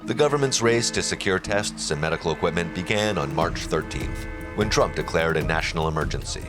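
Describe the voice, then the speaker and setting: Low-voiced male narrator over music. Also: animated, brisk. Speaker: documentary narrator. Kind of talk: scripted narration.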